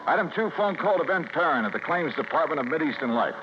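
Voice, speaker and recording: Male actor as hard-boiled American investigator, hot-tempered, clipped, very animated pitch, muffled vintage radio sound. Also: quick pace, lightly acted. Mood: businesslike. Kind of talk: 1950s American radio detective drama acting and narration. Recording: muffled old radio broadcast, music behind.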